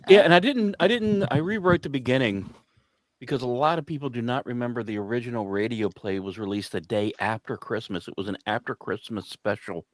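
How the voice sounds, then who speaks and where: A man's voice: mid-range voice, fairly quick, no room sound, rough recording. A man who writes radio scripts, chatting casually in a group conversation.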